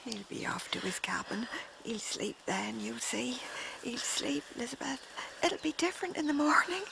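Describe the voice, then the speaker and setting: An actress playing a frightened, timid wife in a radio drama, anxious and hushed. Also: female voice, fairly high pitch, big pitch swings, normal speed, creaky, background noise, exaggerated voice. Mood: fearful.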